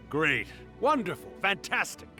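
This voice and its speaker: An actor playing an ancient, playful yet wistful yokai storyteller in a video game, with a theatrical, teasing drawl. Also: male voice, fairly high pitch, pushed and bright, full character voice. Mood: fond. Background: music.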